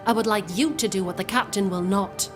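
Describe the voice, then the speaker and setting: An actress playing a composed, resolute plotter in a video game, speaking in formal, measured phrases. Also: female voice, mid-range voice, natural voice. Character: composed, resolute woman plotting against a captain. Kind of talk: video game cutscene acting. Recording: prominent music underneath.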